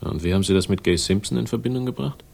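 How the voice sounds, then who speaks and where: A wry, defiant hard-boiled investigator in a 1960s radio thriller. His voice is low and full of gravelly creak, and he speaks with laconic cynicism. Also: rapid-fire, a little mellow. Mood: skeptical. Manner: laconic, sardonic. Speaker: actor in a radio crime drama. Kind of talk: 1960s German radio play acting, dialogue and narration.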